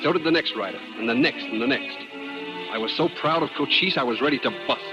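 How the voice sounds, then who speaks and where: An actor playing a plainspoken, principled American frontier scout in a radio Western, speaking and narrating earnestly. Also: male voice, medium pitch, fast, natural voice. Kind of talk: 1950s radio drama acting, dramatic narration. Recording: dull vintage radio recording, loud music.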